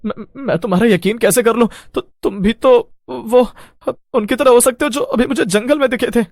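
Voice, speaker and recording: A man playing a frightened, bewildered traveller lost at night in an animated horror story, anxious and hesitant. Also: extremely high pitch, normal speed, dry recording, studio quality.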